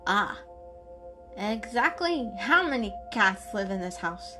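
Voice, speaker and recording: A woman voicing a small, jumpy, suspicious bird with a shrill chirp and sarcastic delivery, in an animal storybook reading. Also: takes it slowly, over music. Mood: accusing. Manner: sarcastic, excitable.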